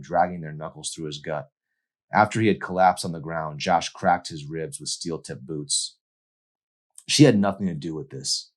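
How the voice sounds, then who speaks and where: A man reading a novel aloud in an intense, theatrical narrating style. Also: a bass voice. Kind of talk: dramatic audiobook narration.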